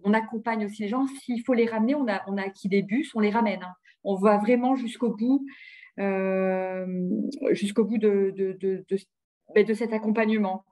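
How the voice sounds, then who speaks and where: Mellow-voiced woman presenting, halting delivery. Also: medium pitch. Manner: hesitant, often repeating words to find phrasing. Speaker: presenter of local health programmes. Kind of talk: informal slide presentation at a webinar.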